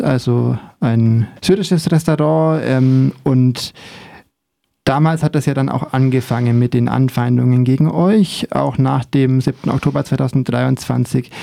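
A man interviewing a guest, asking calm, measured questions and murmuring along while he listens. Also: medium pitch, creaky, no echo, studio quality.

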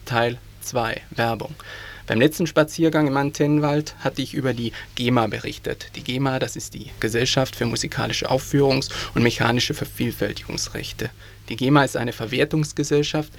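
Gravelly man reading a radio segment. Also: medium pitch. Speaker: radio presenter. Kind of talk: scripted informational segment. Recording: 1980s community radio broadcast.

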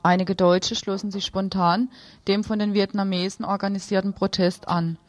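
A woman works as a radio news presenter. Her voice sits at an average pitch, recorded close and dry with very little room sound. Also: flat delivery, moderate pace, dry recording.

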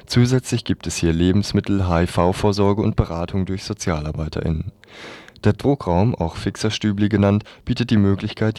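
A man's voice: low voice, warm tone, dry recording. A man presenting radio news, reading from a script.

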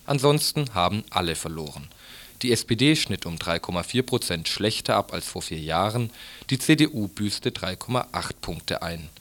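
A man with a lowish voice presents morning radio news. He reads scripted reports in the manner of a news presenter and reporter. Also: lively intonation, moderate pace, fairly bright tone.

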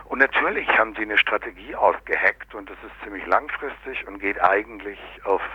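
Guy ranting about local politics, rough husky voice, very dry sound, kinda dull lo-fi radio recording. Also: on the low side, animated, brisk, no room sound. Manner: sardonic, colloquial, often tags statements with "ne?". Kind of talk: unscripted critical political discussion.

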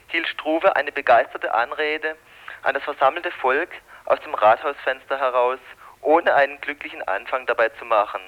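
Through a dull, band-limited, radio-like recording, a man reads out a historical account. His voice sits at a medium pitch, and he narrates it as a prepared piece for listeners. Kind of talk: reading out and explaining a historical account.

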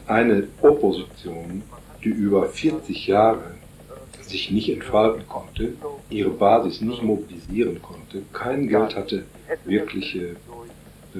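Deep-voiced man explaining, halting with fillers. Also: darkish tone, reverberant, rough recording. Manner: hesitant, many "äh" fillers, building long explanatory sentences. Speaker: interviewee on Paraguay politics. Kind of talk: radio interview answers.

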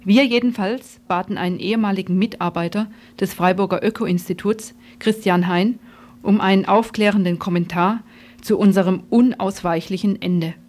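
A woman's voice: mid-range voice, steady tone, normal speed, darkish tone. A woman radio reporter reading scripted narration with ironic, dramatic wording.

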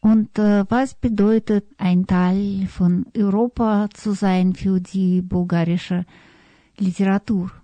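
A woman asks interview questions at a very slow pace. Her voice is soft-toned and mellow, at a middling pitch. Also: steady tone, dry recording.

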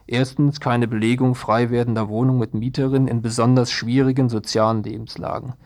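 A man's voice: medium pitch, little pitch movement, a little fast, darkish tone. A man working as a radio news presenter, reading a scripted report.

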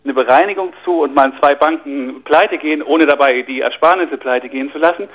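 A man's voice: on the high side, fairly quick, fairly bright tone, low-quality recording. A man guesting on a radio interview, explaining finance in an earnest, explanatory way and often restarting and repeating words mid-sentence.

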